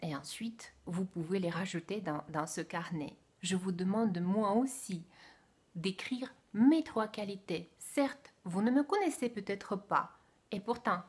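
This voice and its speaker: A woman with a Russian accent talks slowly and deliberately, her voice soft and breathy. She speaks French as a second language and picks her words carefully, dropping into gentle whispered asides now and then. It has the relaxed feel of a casual book review. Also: mid-range voice.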